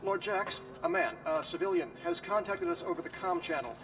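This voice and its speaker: A man's voice: huge pitch swings, brisk, creaky, full character voice. An actor playing an eager, obedient Imperial trooper, a man with clipped military reporting in a space opera audio drama. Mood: urgent, obedient. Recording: distant, noisy, vehicle-like rumble.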